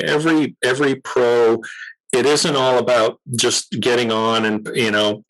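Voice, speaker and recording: A man speaking as an interview guest, explaining radio in a conversational, measured way and repeating phrases for emphasis. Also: lowish voice, fairly bright tone, poor audio.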